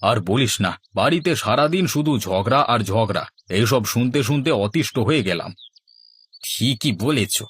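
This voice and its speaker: A man's voice: mid-range voice, expressive, normal speed, a bit bright, breathy edge. A man playing a weary, scheming village husband in a cartoon, speaking in a plain, earnest manner. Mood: conspiratorial, weary. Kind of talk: Bangla cartoon dialogue acting.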